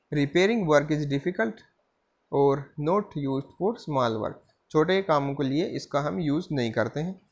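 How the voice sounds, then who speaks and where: Male engineering lecturer, fairly high voice, measured unhurried delivery. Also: breathy edge. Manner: slow reading of slide text, with frequent "theek hai" fillers. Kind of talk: slide lecture, reading English lines then explaining in Hindi. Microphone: voice-over on screen-recorded slides.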